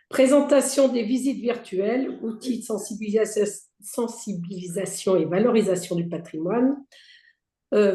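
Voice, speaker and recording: A hoarse woman who has lost part of her voice presents slides for a heritage federation, reading out points with many fillers and restarts. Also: mid-range voice, dark tone. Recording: roomy echo.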